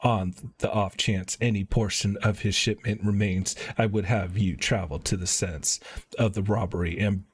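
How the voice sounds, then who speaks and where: A man live-streaming a video game, chatting casually and reading quest text aloud in an informal, halting way. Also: on the low side, fairly even intonation, a little hiss or hum.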